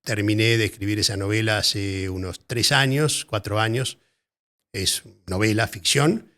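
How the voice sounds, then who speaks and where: A man with an Argentine Spanish accent, a writer being interviewed, talking in a thoughtful, halting way and often repeating words as he searches for phrasing. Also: lowish voice, fairly bright tone. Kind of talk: casual interview conversation.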